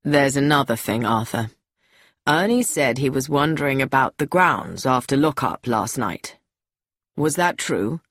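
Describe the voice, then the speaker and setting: A serious middle-aged man of the house , put on by a woman reader speaks in a low, husky register. His delivery is grave and formal, with every word weighed. The recording is close and dry. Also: animated, a little slow, a bit bright, dry recording, full character voice. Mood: probing.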